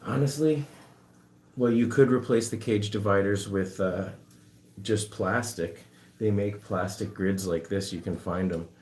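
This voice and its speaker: Rambling man in his forties, low voice. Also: a little slow, a little mellow. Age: 40s. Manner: loose, rambling and self-amused, talking while doing chores. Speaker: animal rescuer streaming to viewers. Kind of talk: casual live-stream chat answering viewers. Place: home with pet guinea pigs.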